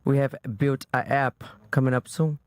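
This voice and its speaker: A woman's voice: a bass voice, flat delivery, normal speed, dry recording. Podcast guest, woman in her twenties, Brazilian accent, brash slangy chat, dry close sound. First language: Portuguese. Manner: brash, slangy, broken grammar. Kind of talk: casual chat.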